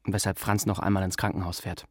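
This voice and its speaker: A man's voice: low voice, level tone, fast, slightly rough, dry recording, clean studio sound. An actor playing a polite, matter-of-fact male hospital attendant in a radio drama, who also narrates. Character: businesslike, courteous hospital attendant, man.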